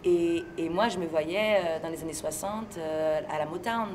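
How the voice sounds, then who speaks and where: A woman from France being interviewed, speaking in a relaxed, thoughtful and hesitant way and laughing easily. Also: on the low side, normal speed. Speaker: interviewee, singer and stage performer. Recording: noisy location recording with ambient sound.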